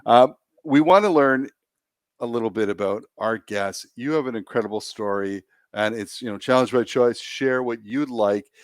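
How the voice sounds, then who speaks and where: A man's voice: mid-range voice, moderate pace, dry recording, clean studio sound. A man hosting a podcast, introducing and questioning his guest in an upbeat, encouraging way. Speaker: podcast host. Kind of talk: friendly interview hosting.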